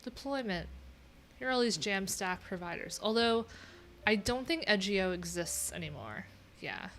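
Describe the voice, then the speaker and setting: A woman streams from a small room at home with faint noise behind her. Her voice sits at an average pitch. She talks in an offhand, wry way, thinking aloud as she goes through what is on her screen. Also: lively intonation, normal speed, a bit bright. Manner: offhand, wry, chatty asides while reading screen. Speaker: livestream host and web developer. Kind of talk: casual live coding stream chat, thinking aloud.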